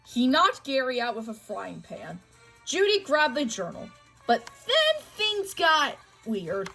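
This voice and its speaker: A woman's voice: high-pitched, fairly bright tone, poor audio. A woman narrating a children's storybook read-aloud, telling the tale expressively. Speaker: storybook reader.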